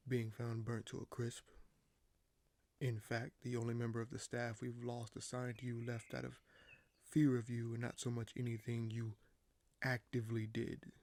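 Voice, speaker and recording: A man playing a weary, paranoid, dryly candid king in an audio roleplay, speaking in measured, formal phrases with hesitant pauses. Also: mid-range voice, gravelly, a little mellow, lightly acted. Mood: guarded, wry. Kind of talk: audio roleplay monologue to a silent listener.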